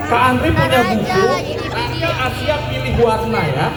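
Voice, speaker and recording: A man addressing children as a storyteller, playful and exclaiming, with drawn-out words and call-and-response. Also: very high voice, expressive. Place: large reverberant space before an audience of children. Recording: echoey hall, distant PA sound, children's crowd.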